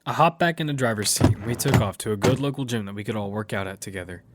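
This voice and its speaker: A man's voice: lowish voice, fast talker, very noisy, natural voice. A man playing a casual, confident young gamer who narrates his story in the first person and teases his friends. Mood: narrating. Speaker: narrator and lead character. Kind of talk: first-person audio fiction narration and dialogue.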